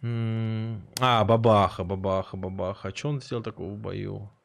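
A man streaming a game live, chatting with viewers in a rambling way and reacting loudly to the action. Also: lowish voice, moderate pace, creaky. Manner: excitable, rambling, swears, jumps between chatting and reacting to the game. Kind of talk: live gameplay commentary and chat.

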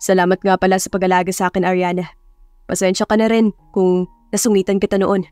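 A woman voicing a calm, earnest young bachelor in love, speaking softly and reserved in an audiobook romance. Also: medium pitch, no echo, faint music behind, voice pushed down, natural voice. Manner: calm, soft, measured.

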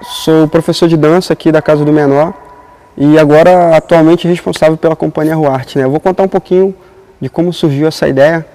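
A man's voice: a little high, a little fast, poor audio. Brazilian dance teacher in his twenties. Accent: Brazilian Portuguese. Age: 20s. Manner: relaxed storytelling with frequent fillers. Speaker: dance teacher and company director. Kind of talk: documentary interview. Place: outdoors in front of a painted mural. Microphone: lapel.

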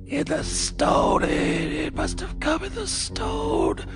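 A raving dying farmer. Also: male voice, very high voice, wildly swooping pitch, takes it slowly, heavily acted. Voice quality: gravelly, rasping. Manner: broken, stammering, cracked raving. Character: dying farmer crumbling from alien blight, raving. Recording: radio drama with music underneath.

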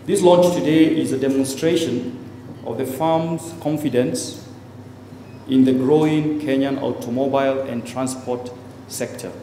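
A middle-aged man giving a formal scripted speech in measured phrases into a handheld microphone at an indoor event. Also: mid-range voice, mellow. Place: indoor event hall, at a podium. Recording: very echoey hall, faint background noise.

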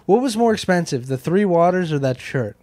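A man's voice: sits high, expressive, brisk, darkish tone, dry recording. An American man co-hosting a podcast, chatting casually and comedically into a handheld microphone held close. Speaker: podcast co-host. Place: small indoor podcast room with couches.

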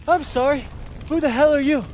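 An actor playing a brash, excitable young soldier in a war video game. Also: male voice, very high voice, fairly quick, a little hiss or hum. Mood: alarmed. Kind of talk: video game combat dialogue.

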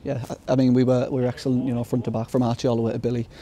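A man's voice: mid-range voice, level tone, racing, warm tone. A young footballer in his twenties, giving a modest, upbeat post-match interview outdoors at the ground at night, with lots of fillers and restarts.

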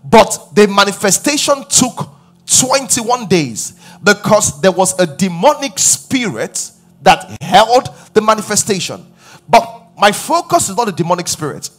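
Pastor, man in his forties, husky, pitch leaps around while preaching on a big stage, faint music under. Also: measured pace. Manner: animated preaching, rising loud on key points, asking the crowd questions. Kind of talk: sermon. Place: large church stage with live audience.

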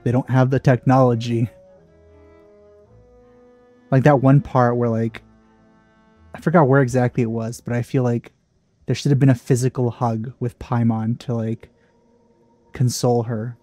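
A man giving casual, musing let's play commentary on a game, in a halting way. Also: medium pitch, level tone, mellow, no room sound, soft background music.

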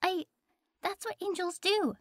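A woman's voice: extremely high pitch, a little slow, dry recording, studio quality. A woman playing an earnest, prim angel who gets a little flustered, in an audio roleplay. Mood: flustered, insistent.

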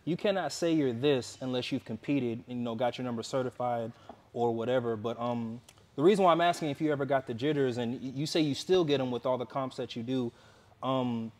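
Halting casual male interviewer. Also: mid-range voice, darkish tone. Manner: halting, many restarts and fillers.

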